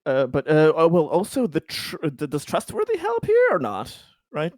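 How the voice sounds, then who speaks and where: A man's voice: a little high, wildly swooping pitch, moderate pace, darkish tone, no room sound, studio quality. A man talking casually as a player in a tabletop roleplaying game.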